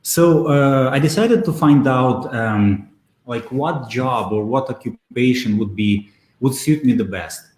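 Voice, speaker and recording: A man with a mid-range voice, answering interview questions over a video call. He talks in a steady, measured way and often pauses on "uh". The sound carries a clear roomy echo. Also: medium pitch. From Belarus. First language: Russian. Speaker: guest, SEO consultant.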